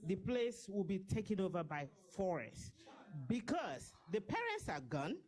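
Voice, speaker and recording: A woman's voice: mid-range voice, big pitch swings, a little slow, a little mellow. A woman in her fifties gives a passionate, persuasive speech to a gathering as a diaspora campaign organizer. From Nigeria. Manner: impassioned, persuasive, pleading.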